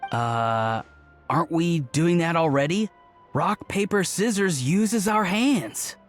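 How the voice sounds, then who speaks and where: A playful, jokey young man with a brightish, fairly high voice. Light game music sits behind him. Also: animated, slow pace.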